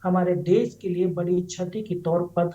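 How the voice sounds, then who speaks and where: Male correspondent, high for a man, dark soft-edged tone, unscripted live report, long-winded run-on phrasing. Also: steady tone, normal speed, dull audio. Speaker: news correspondent.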